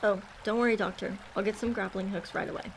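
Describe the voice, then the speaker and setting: A woman's voice: mid-range voice, steady tone, fast talker, slight background noise, close to an everyday voice, poor audio. A woman playing a helpful, puzzled, plain-spoken police sergeant with a deferential, folksy manner in a radio drama. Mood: obliging.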